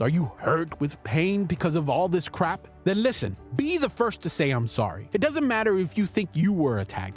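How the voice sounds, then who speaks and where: A middle-aged man hosting a show, speaking straight to listeners in an earnest, preachy, emphatic motivational monologue. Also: a little high. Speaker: show host and father.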